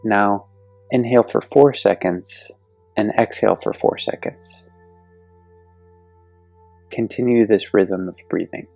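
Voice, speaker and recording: Man, very low voice, meditation guide, soothing, long pauses, dry close sound, soft music behind. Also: gravelly, no room sound. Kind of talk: guided yoga nidra meditation.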